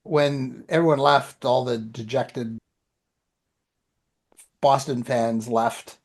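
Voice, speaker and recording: A man's voice: mid-range voice, steady tone, slow pace. A man telling anecdotes in a rambling, informal way as a podcast co-host. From Canada. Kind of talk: casual chat, anecdotes.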